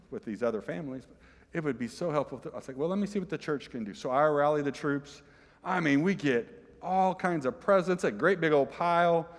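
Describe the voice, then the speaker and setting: A man's voice: mid-range voice, big-room sound. A man preaching a sermon to his congregation, chatty and joking, often asking the listeners questions. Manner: conversational, jokey, asks the congregation questions. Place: church sanctuary.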